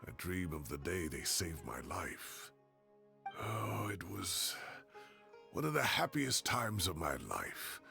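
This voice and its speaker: A man's voice: low voice, very animated, slow pace, gravelly, pushed and bright, full character voice. A man voice acting a jovial, rambling older professor in a video game, with a theatrical, teasing and self-deprecating manner. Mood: wistful, remorseful. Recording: game audio with background music.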